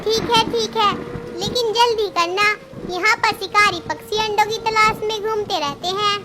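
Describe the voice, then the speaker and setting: A bossy cartoon crow snaps and threatens in a shrill, very high voice, as a woman voices her. She guards her nest fiercely and talks down to the little bird. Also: moderate pace. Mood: stern. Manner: harsh, threatening, then grudging. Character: scolding crow mother, female, bossy. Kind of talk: cartoon story voice acting.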